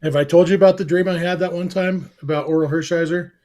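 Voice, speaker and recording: A man with a fairly high voice co-hosts a relaxed sports podcast. He lays out his points at length and slips in deadpan jokes. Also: fast. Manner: dry humor, detailed and explanatory. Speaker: podcast co-host. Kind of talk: casual sports podcast chat.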